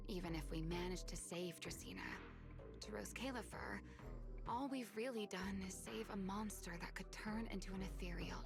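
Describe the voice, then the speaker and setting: A woman playing a confident, teasing, sly strategist in a sci-fi video game, with playful, measured theatrical delivery. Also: medium pitch, close to an everyday voice. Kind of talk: scripted video game voice acting. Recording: game music behind the voice. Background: background music.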